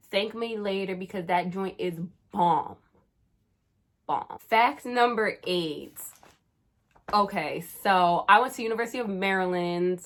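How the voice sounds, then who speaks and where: A young woman in her twenties talks straight to her viewers in a relaxed, playful vlog style. She drops slang freely and keeps a chatty, conversational flow. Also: mid-range voice. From Caribbean, raised in New Jersey. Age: 20s. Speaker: YouTuber. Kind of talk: casual vlog chat.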